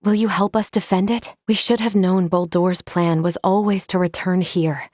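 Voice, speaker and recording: An actress voicing a composed, polite female android in a video game, speaking in formal, measured, precise phrases. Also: lowish voice, steady tone, a little mellow, no echo, clean studio sound, lightly acted. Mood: serious, measured. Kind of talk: scripted video game character lines.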